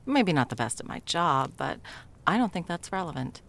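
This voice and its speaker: A woman's voice: deep voice, very animated, normal speed, vocal fry, a bit bright, exaggerated voice. A woman playing a bumbling, flustered female Christmas elf in a whimsical comedic audio roleplay, evasive and sarcastic, rambling and backtracking as she goes. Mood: defensive, brisk.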